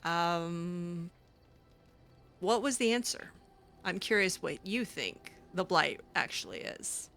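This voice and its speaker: A woman's voice: fairly low pitch, animated, takes it slowly, fairly bright tone, faint music behind. A woman improvising in character over a fantasy game, speaking casually with a hesitant, sassy manner. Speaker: game streamer roleplaying her character.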